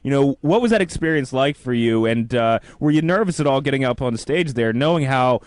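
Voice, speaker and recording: A man's voice: medium pitch, animated, fairly quick, no room sound, studio quality. A man hosting a casual interview in a jokey, teasing manner. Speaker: interview host. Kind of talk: casual prank-call interview.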